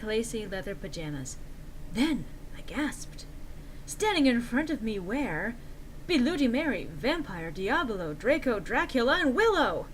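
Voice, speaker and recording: A woman narrates fan fiction at a middle pitch. Her delivery is theatrical and exaggerated, played mock-earnest. Faint noise sits behind the voice. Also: rough recording. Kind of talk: dramatic reading of fan fiction.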